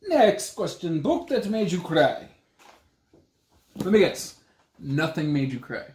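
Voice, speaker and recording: Teasing man with lively, swooping intonation. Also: on the high side, slow pace, a little hiss or hum. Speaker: book vlogger co-host. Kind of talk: casual bantering chat. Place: small room at home, indoors. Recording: roomy echo from camera distance.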